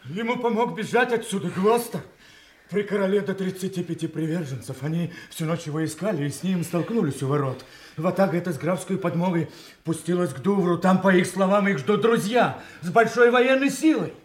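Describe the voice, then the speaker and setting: An actor playing a servile steward delivering urgent news in a classical audio drama. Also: male voice, sits high, a little fast, close to an everyday voice. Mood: urgent report. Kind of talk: classical stage tragedy acting. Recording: echoey radio play studio.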